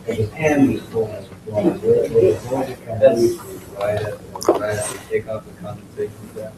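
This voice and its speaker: A low-pitched man chats casually, his voice trailing to near-murmurs. He is far from the microphone in an echoey room. Clear background noise sits under his voice. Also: moderate pace. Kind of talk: casual chat before class.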